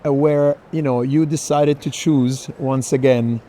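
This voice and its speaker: A man leading a walking conversation through an art fair, speaking haltingly with many restarts. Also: medium pitch, steady tone, mellow, a little hiss or hum. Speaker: tour moderator.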